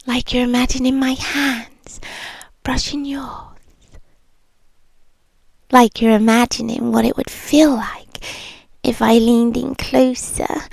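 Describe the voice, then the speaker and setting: British woman acting as a teasing yandere bartender girlfriend for ASMR roleplay; high, very slow, breathy and whispery, close-miked. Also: steady tone, a little mellow, no echo, exaggerated voice. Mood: teasing, possessive. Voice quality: breathy, often dropping into whisper. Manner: slow, teasing, lingering pauses. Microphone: close-up ASMR microphone.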